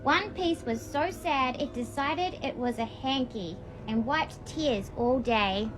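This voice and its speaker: A woman's voice: high voice, takes it slowly, music in the background, low-quality recording. A woman reading aloud in a childlike storybook manner, with a casual, homemade read-along style. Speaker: vlogger reading a picture book aloud.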